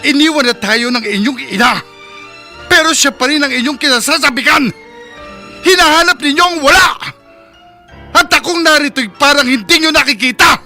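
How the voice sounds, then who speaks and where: A man's voice: extremely high pitch, normal speed, pauses often, bright, forceful tone, soft background music, full character voice. An actor playing a bitter, anguished father in a Filipino radio drama, pleading and indignant. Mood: anguished, angry.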